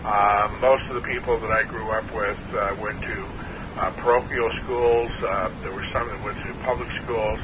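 Sixties man, interviewee, halting and throaty; muffled, hissy phone recording. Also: fairly low pitch, fairly even intonation. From New Hampshire. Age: 60s. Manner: hesitant, many uh fillers, measured. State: clearing his throat, frog in throat. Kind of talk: oral history interview.

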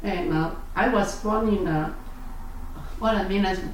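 Hesitant older woman, echoey. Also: mid-range voice. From South Vietnam. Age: 60s. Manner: hesitant, halting with many fillers and self-corrections. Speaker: interviewee. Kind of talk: oral history interview. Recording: echoey room, distant mic, faint noise.

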